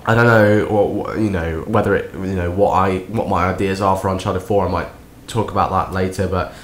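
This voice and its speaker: A young man, croaky and nasal from a cold, rambling through a sarcastic gameplay commentary. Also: sits low, fairly even intonation, brisk, a little hiss or hum. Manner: rambling, sarcastic, many restarts. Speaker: gaming commentator. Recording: echoey room with faint game sound.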